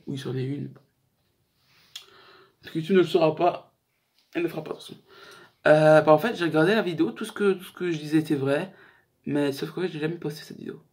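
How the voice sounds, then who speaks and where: A young man with a slightly high voice chats casually as a YouTube host running through a list. His talk is slangy and joking, and he rambles as he goes. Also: darkish tone. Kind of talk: casual chat to camera, countdown list.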